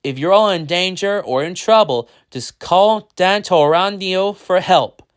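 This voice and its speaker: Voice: mid-range voice, measured pace, clean tone, pitched above the performer's own voice, close to an everyday voice. A storyteller voicing an upbeat television news reporter in a superhero story. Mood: proud announcement.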